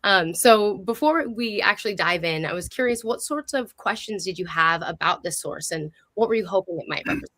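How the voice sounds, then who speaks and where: An enthusiastic woman hosts a live online program. She presents and asks questions with an upbeat manner, in a mid-pitched voice. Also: fairly quick, few pauses, fairly bright tone. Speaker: host. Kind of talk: friendly live online show presenting and interviewing.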